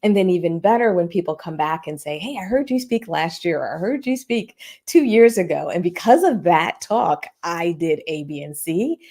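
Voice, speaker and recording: Woman, podcast host, chatty with fillers, gravelly fry, mellow tone. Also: lowish voice. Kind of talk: casual interview conversation.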